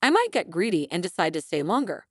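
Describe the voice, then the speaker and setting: A woman's voice: on the low side, sing-song, fast talker, dry recording, studio quality. A woman voicing a smug, vengeful wife in a story, mock-innocent and taunting.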